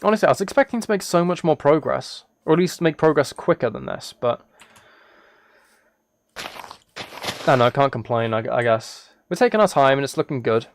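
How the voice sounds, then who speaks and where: A man's voice: a little high, moderate pace, long pauses, slight background noise. Rambling man chatting on a casual livestream. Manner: rambling, thinking aloud; soft-spoken, relaxed. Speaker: live streamer. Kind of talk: casual livestream chat while gaming.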